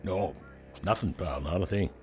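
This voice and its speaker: An actor playing a wisecracking, uneasy American bartender in a radio drama, with folksy, placating chatter. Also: male voice, low voice, moderate pace, natural voice. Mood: nervous, placating. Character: wisecracking, uneasy big-city bartender trying to placate danger. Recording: music bed behind.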